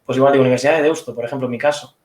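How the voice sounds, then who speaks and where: A man answers audience questions at an online conference, weighing each point and reaching for examples. He talks briskly. His voice carries the echo of a room over a video call. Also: mid-range voice, steady tone, a little mellow. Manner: thoughtful, hedging, explains with examples. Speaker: conference speaker.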